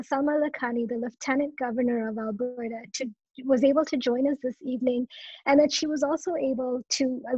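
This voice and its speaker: Young woman, earnest thanks speech, husky tone, lo-fi earbud call sound. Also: a little high, a little mellow, dry recording. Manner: earnest, grateful, with fillers and restarts. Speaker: chapter executive member giving thanks. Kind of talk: formal closing thank-you speech. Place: home room with brick wall. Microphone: wireless earbuds on a video call. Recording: dull lo-fi video call audio.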